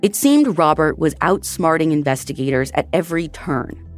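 A woman's voice: deep voice, animated, normal speed, soft background music. A woman hosting a true crime podcast, narrating the case in a conversational way and speaking directly to her listeners. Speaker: podcast host.

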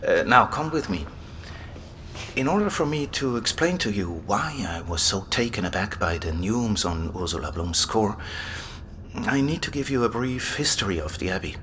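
A man's voice: on the low side, lively intonation, moderate pace, sharp tone, a little hiss or hum. A man acting in an audio drama as an erudite, enthusiastic abbey librarian, explaining things like an eager scholar. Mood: keen explaining. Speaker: library guide and manuscript expert.

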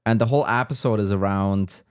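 A man co-hosting a business podcast, chatting in a relaxed way with fillers and laughs. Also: on the low side, a little fast, smooth. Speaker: podcast co-host. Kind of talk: casual business chat.

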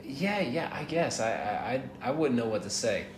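A man's voice: medium pitch, expressive, normal speed. A man in his thirties from the United States, talking as a guest in a casual interview, laid-back and rambling, laughing now and then and swearing casually. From United States, born in Miami, raised in Atlanta. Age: 30s. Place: hostel. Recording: roomy echo with clear background noise.

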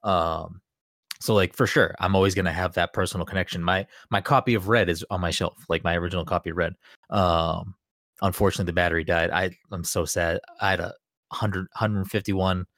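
A man chatting on a podcast, rambling eagerly with lots of fillers and quick laughs. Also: sits low, fairly even intonation, fairly bright tone, no room sound, studio quality. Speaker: podcast co-host. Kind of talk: casual chat.

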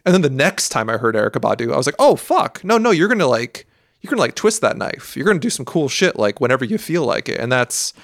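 A man co-hosting a casual podcast, rambling and animated as he talks about albums, with plenty of fillers. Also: medium pitch, fast, vocal fry, no room sound, studio quality.

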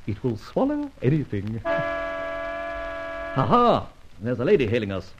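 An actor playing a debonair, flippant gentleman adventurer in an old radio drama, self-assured, with urbane, wordy phrasing. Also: mid-range voice, huge pitch swings, a little slow, loud music behind the voice. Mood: breezy, amused. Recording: muffled old radio transcription recording.

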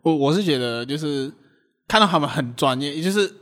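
A man chatting casually as a guest. His voice is high for a man and bright. He rattles along quickly in an informal, conversational way. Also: expressive. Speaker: podcast guest, amateur voice actor. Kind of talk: casual behind-the-scenes chat.

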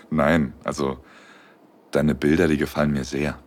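A man's voice: very deep voice, little pitch movement, slow pace, exaggerated voice. An actor playing a smitten, romantic young man, tender and intimate, in a first-person audio drama. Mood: warm, slightly shy.

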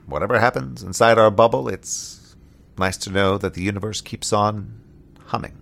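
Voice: sits low, vocal fry. An actor playing an awkward, sincere crew member in an audio drama, speaking hesitantly. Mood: gentle, curious. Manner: hesitant, halting. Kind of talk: naturalistic audio drama acting.

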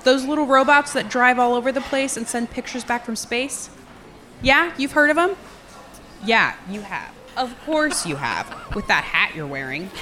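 A brash small-town woman comic hosting open mic night in an audio drama. Her voice is high and bright and swings widely in pitch as she teases the room and throws out rhetorical questions. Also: animated, normal speed, a little hiss or hum. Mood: playful, sardonic. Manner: teasing, working the crowd with rhetorical questions. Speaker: stand-up comedian and open mic host. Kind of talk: stand-up comedy in an audio drama.

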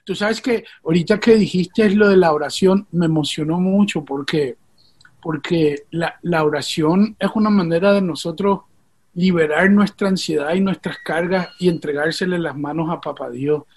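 High-voiced, husky host. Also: male voice, normal speed. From Venezuela, raised in Puerto Rico. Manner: earnest, heartfelt storyteller. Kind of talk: casual conversation with storytelling.

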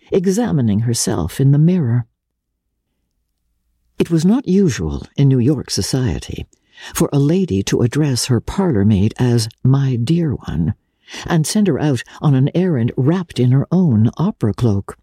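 A woman narrating classic literature as an audiobook reader, in a measured, expressive and theatrical manner. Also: extremely low pitch, breathy edge, dry recording, clean studio sound.

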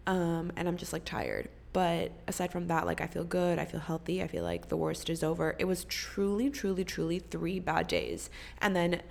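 A woman's voice: on the low side, steady tone, moderate pace, creaky, hardly any pauses, darkish tone. Congested, swearing podcast host woman. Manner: rambling, swears freely. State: congested, coughing. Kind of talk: casual chat.

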